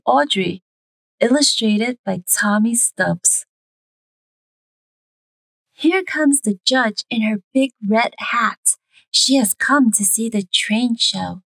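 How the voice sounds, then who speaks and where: A woman reading a children's story aloud expressively, with sing-song, drawn-out emphasis. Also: a little high, dry recording, low-quality recording.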